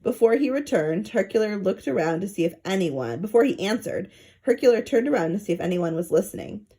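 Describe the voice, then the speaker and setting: A woman reading a children's story aloud in an expressive narrating style. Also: mid-range voice, brisk, breathy edge. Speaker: storybook reader.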